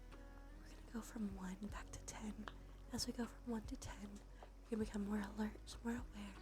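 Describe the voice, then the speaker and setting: A woman giving an ASMR guided visualization in a slow, soothing, gently coaxing manner. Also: fairly high pitch. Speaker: ASMR streamer. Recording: music behind the voice. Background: music.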